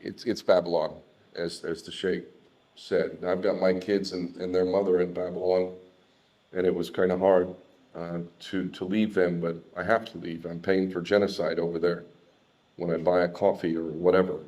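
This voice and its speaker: An American man from Southern California giving an unscripted personal testimony, speaking in a halting, reflective way with repeated words. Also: low-pitched, flat delivery, a little mellow.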